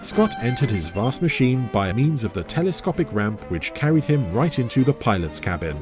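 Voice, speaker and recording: A man's voice: medium pitch, sing-song, normal speed, music in the background. A man reading a scripted adventure story as its narrator.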